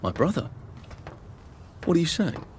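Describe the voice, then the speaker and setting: A man's voice: fairly high pitch, big pitch swings, measured pace, darkish tone, a little hiss or hum. An actor playing a polite, anxious Englishman with a hesitant, understated manner and an English accent in a video game cutscene. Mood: bewildered. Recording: music and rain behind voice.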